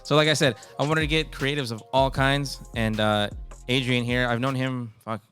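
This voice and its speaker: A man's voice: medium pitch, steady tone, moderate pace, a bit bright, faint music behind. A man in his thirties hosting a podcast, talking casually with slang and swearing. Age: 30s. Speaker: podcast host.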